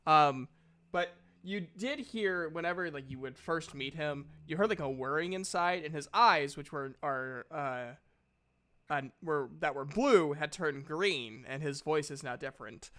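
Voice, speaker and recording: Male game master, clear high voice, informal tabletop narration with stop-start phrasing. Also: sharp tone. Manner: halting, informal.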